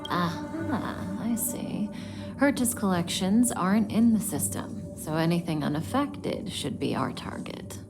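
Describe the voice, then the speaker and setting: A woman's voice: on the low side, lively intonation, a little slow. A woman voice-acting a poised, teasing space-faring thief in a video game, languid, wry and confident. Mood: amused, curious. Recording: loud music behind the voice. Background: loud game soundtrack music.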